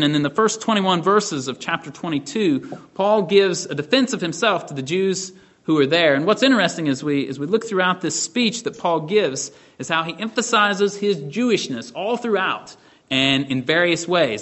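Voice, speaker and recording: A man leads a Bible study lesson, explaining a passage in a relaxed, conversational way. His voice sits a little on the high side, and he restarts phrases and checks in with his listeners as he talks. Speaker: Bible teacher.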